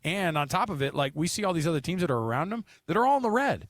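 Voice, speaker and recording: Fast-talking man hosting casual sports talk. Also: fairly high pitch, very animated, no echo. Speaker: sports talk show host.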